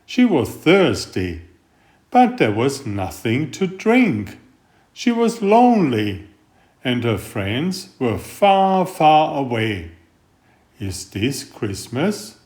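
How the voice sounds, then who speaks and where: A man reading a picture book aloud in a gentle, unhurried storytelling manner. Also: a little high. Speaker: storybook reader. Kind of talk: slow read-aloud picture book narration.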